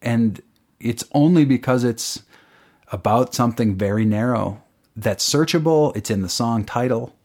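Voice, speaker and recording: A man's voice: mid-range voice, measured pace, a little mellow. A man, a songwriter guest, talking casually in an interview and explaining at length with lots of fillers. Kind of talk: casual radio interview chat.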